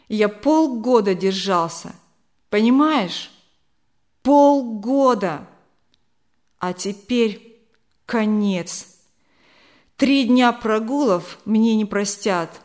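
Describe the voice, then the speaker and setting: A woman voicing a bitter, defiant alcoholic husband in an audiobook, with slow, emphatic, dramatic delivery. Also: mid-range voice, a little mellow, lightly acted.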